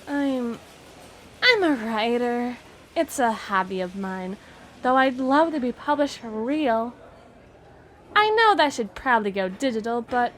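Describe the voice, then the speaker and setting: A woman's voice: sits high, a bit bright, a little hiss or hum, heavily acted. A woman playing a gushing, obsessively lovestruck teenage girl writer in an ASMR roleplay monologue, flustered and rambling. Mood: shy, chatty.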